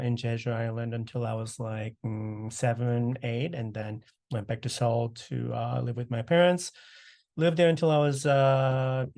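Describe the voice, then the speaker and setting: A man in his thirties from South Korea, answering oral history questions over a video call, relaxed and full of "uh" and "you know". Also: mid-range voice, vocal fry. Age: 30s. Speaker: interviewee.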